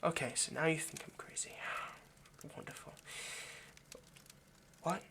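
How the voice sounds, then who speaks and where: A man playing an anxious, lovesick centuries-old vampire kidnapper in an intimate roleplay monologue, speaking in a halting, coaxing, rambling way straight to the listener. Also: a little high, vocal fry, a bit bright, whispered, exaggerated voice. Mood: hurt, exasperated.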